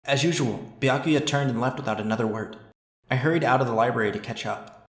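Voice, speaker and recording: A man's voice: mid-range voice, fairly quick, a bit bright, roomy sound, faint music behind, natural voice. A man voicing an earnest, anxious but determined teenage schoolboy detective in a game dub, with an inner-monologue delivery. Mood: reflective, determined. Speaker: voice actor in a fan dub. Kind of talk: game dub narration and dialogue.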